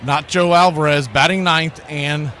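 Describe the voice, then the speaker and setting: A man's voice: on the high side, steady tone, a little slow, bright, forceful tone, slight background noise. A man announcing sports and sponsor spots in a showy, folksy radio play-by-play style. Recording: music bed under the voice. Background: music.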